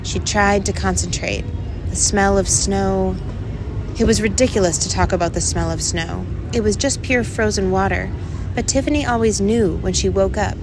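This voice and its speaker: A woman reading a storybook aloud as narrator in an expressive storytelling style. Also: medium pitch, moderate pace. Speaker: storybook reader. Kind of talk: animated fiction narration. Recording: clear background noise.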